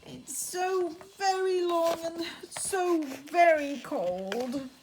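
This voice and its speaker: A woman voicing a sad, sniffing giraffe in a children's storybook, plaintive and drawn-out. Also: very high-pitched, darkish tone, slight background noise, voice pushed up, exaggerated voice. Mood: sorrowful. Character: sad, sniffing giraffe with a cold long neck.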